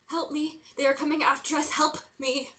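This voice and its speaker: A woman's voice: high voice, little pitch movement, normal speed, a bit bright. A woman playing a frightened, remorseful and fiercely protective young woman on the run, urgent and emotionally raw, in a screenplay table read. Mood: panicked.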